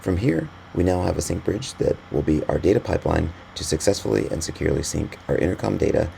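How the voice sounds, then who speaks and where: A man narrating an instructional software screencast in a measured, step-by-step explanatory way. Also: low-pitched, lively intonation, vocal fry. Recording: voice-over with faint background noise.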